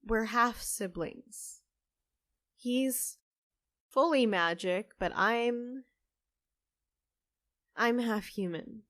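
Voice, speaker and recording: An actress playing a kind, apologetic half-human cafe co-owner in a fiction podcast, gentle and hesitant in manner. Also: female voice, fairly high pitch, drawn-out words, pauses often. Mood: hesitant, confiding.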